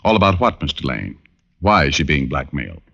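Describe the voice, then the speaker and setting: An actor playing a self-assured, wisecracking male private detective in an old-time radio drama, delivering wry, deadpan quips. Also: extremely low pitch, a little fast, vocal fry, full character voice. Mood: dry, probing. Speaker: lead actor and narrator. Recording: muffled vintage radio recording with music.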